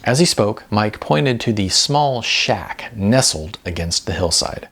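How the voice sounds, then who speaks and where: Gravelly low-voiced male audiobook narrator. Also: expressive, measured pace, a bit bright.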